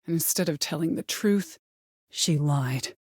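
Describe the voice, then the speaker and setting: An actress playing a vengeful, bitter middle-aged mother in a video game, commanding and threatening, with deliberate delivery and cold control. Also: sits low, a little mellow, slightly rough, no echo, clean studio sound. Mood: bitter, accusing. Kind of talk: dramatic video game voice acting.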